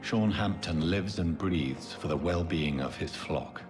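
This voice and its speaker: A man playing a grave, composed doctor and vampire in a video game, speaking formally and politely, with music behind him. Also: low-pitched. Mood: resolute. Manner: formal, polite, measured wording. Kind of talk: video game dialogue acting.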